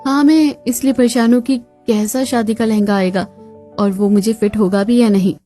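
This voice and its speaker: A woman acting the dramatic, expressive daughter and chatty female relatives of a cartoon family story. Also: fairly high pitch, normal speed, close to an everyday voice. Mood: anxious, dismayed. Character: young unmarried daughter and her chatty women relatives, expressive. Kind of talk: Hindi cartoon story dialogue. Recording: music bed behind the voice.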